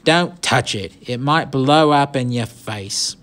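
A man's voice: high voice, big pitch swings, slow pace, gravelly, voice pushed up, heavily acted. Man acting a menacing teenage skinhead gang leader. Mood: barking, afraid. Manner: slangy, sneering, muttering and barking.

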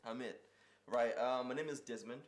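A man from Zambia in a casual group chat, animated and quick to exclaim. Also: medium pitch, background noise. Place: living room. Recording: echoey room.

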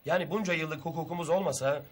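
An actor playing a patient, fatherly police commissioner in a TV drama, familiar and gently admonishing. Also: a little high, quick pace, natural voice. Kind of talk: Turkish TV drama acting.

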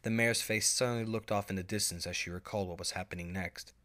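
A man's voice: on the low side, fairly even intonation, fast talker, sharp tone. A man reading scripted story narration as the narrator.

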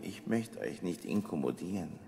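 A weary, feverish composer in an audio drama, with an Austrian accent. His low voice is very husky and swings widely in pitch. He speaks haltingly, frightened and despairing, repeating himself as his strength drains. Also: animated, mellow, full character voice. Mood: weak, faint. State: feverish, weak. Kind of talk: German audio drama acting.

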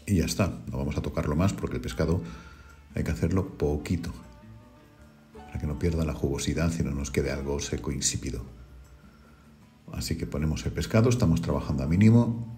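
A middle-aged man, hosting a home cooking video and calmly talking viewers through each step. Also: extremely low pitch, a little fast, long pauses, faint music behind. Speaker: cooking channel host. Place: home kitchen.

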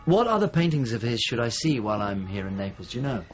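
A man's voice: medium pitch, big pitch swings, fast, lo-fi sound, music in the background, lightly acted. An actor playing an anxious, questioning painter searching for his old friend in a naturalistic radio drama. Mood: probing.

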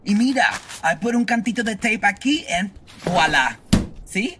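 A man's voice: high voice, lively intonation, moderate pace, background noise. An actor playing a friendly, theatrical Puerto Rican man in an audio drama, expressively mixing Spanish into English. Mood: cheerful.

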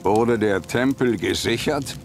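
A man's voice: fairly low pitch, slow, music in the background. A man voicing a cold, commanding emperor in a video game cutscene, imperious and insistent.

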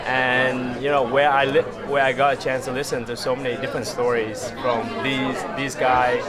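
Halting young man in noisy lobby. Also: medium pitch, flat delivery. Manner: halting, repeats words. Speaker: audience member. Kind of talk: casual interview. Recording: loud crowd chatter behind.

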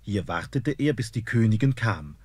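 A man narrating a fairy-tale radio play in an expressive storytelling manner. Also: on the low side, normal speed, husky, dry recording.

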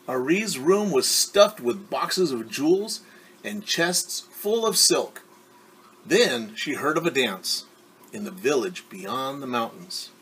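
Middle-aged man teaching storytime, lively pitch. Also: slow, slight background noise, low-quality recording. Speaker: teacher reading a picture book aloud. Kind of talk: storytime read-aloud with simple explanations for children. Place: living room at home.

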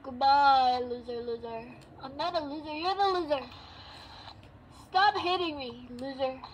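A woman's voice: high voice, measured pace, slight background noise, low-quality recording. A woman improvising karaoke-style, half singing and half chanting short, repetitive, defiant phrases. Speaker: amateur singer and vlogger. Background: music.

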